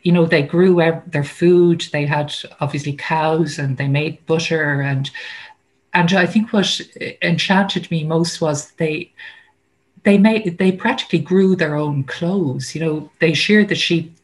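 Older irish lady author on a video call, thinking out loud, lots of ums and restarts. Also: deep voice, a little slow. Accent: Irish, Dublin. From Ireland. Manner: thoughtful, many fillers and restarts, light laughs. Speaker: author and guest. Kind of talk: reflective interview answers. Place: home study with bookshelves, indoors. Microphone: laptop webcam on a video call.

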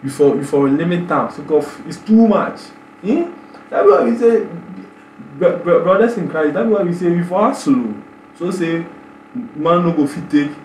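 Young male reaction vlogger, Nigerian Pidgin accent, excitable and stammering, echoey room with faint hum. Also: on the high side, moderate pace. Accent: Nigerian Pidgin English. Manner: excitable, exclaiming, stammering repeats. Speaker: YouTube reaction host. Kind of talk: animated casual reaction commentary in Pidgin. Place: small bedroom indoors.

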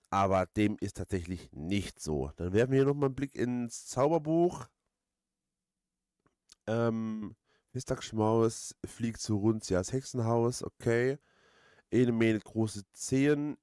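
A man hosts a video game playthrough in a fairly low voice. He comments casually, circling back over phrases with hesitant rambling. The sound is dry, without room echo. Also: a little mellow. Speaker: let's play host.

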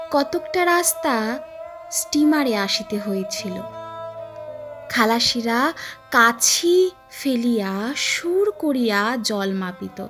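A woman narrating a literary story in a solemn, emotive, dramatic manner. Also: high-pitched.

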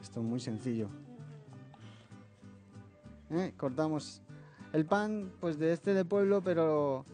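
A man with a slight Catalan accent demonstrating a recipe as a guest chef in a television kitchen. He explains each step patiently and often checks in with a quick "eh?". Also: on the high side, expressive, dark tone. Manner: explains step by step, tags phrases with "eh?". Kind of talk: cooking demonstration. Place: TV studio kitchen. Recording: faint background music.

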